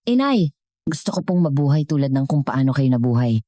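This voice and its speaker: A woman's voice: very deep voice, very animated, a little fast, warm tone, dry recording. A woman voicing a humbled, remorseful adult son in a dramatic story, respectful and earnest as he pleads with his mother. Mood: apologetic.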